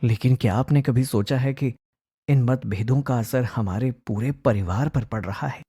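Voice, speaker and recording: A man playing a concerned, diplomatic adult son, earnest and measured, in an animated family drama. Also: medium pitch, husky.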